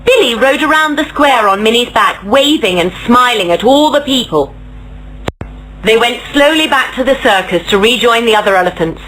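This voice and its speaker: Expressive, animated woman reading a children's story. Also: fairly high pitch, normal speed, slight background noise, poor audio. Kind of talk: scripted children's story narration.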